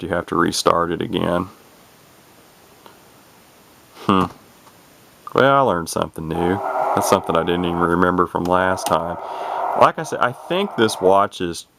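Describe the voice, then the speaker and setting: A man giving a casual, unscripted radio demo in a folksy, rambling way, thinking out loud as he goes. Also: low voice, slight background noise. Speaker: hobbyist demonstrating a CB radio.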